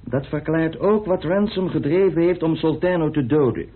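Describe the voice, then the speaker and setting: A man's voice: on the high side, moderate pace, slightly rough. An actor, arguing his case in a dry, assertive way. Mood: dry, pointed. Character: self-assured man coolly arguing his theory of a murder. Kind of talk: radio play acting. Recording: muffled phone-like old radio recording.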